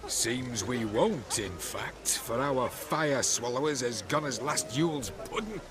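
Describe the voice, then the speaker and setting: An actor voicing a weary husband in a game cutscene, dry and deadpan. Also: male voice, mid-range voice, takes it slowly, music in the background.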